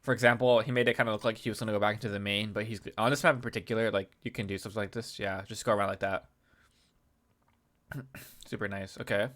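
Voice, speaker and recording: Male video host, bright nasal tone, under the weather, casual and chatty breakdown of a match. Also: lowish voice, steady tone, quick pace. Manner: chatty, clears throat often, restarts. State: sick, slightly nasal. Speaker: YouTube host analyzing a game replay. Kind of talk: casual game analysis commentary.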